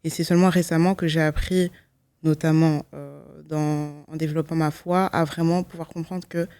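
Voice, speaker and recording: A woman's voice: low voice, steady tone, normal speed, warm tone, clear, resonant tone, no room sound. A woman, giving a personal testimony in a reflective, hesitant way, often correcting herself. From Belgium. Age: 20s. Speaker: guest, law student giving testimony.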